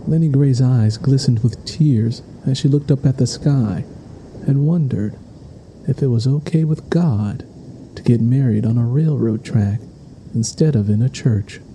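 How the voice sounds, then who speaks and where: A man's voice: mid-range voice, unhurried, dark tone, slight background noise, poor audio. A man reading a scripted, dramatic story as its narrator. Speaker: audiobook narrator.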